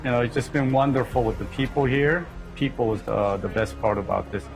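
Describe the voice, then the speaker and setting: Older immigrant man, plain-spoken and halting. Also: medium pitch, gravelly, faint music behind, rough recording. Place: restaurant.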